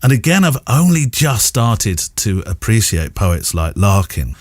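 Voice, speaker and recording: A man's voice: lowish voice, big pitch swings, a little slow, dry recording. A man hosting a podcast in a casual, rambling, enthusiastic and self-deprecating way. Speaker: podcast host. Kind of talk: casual chatty podcast presenting.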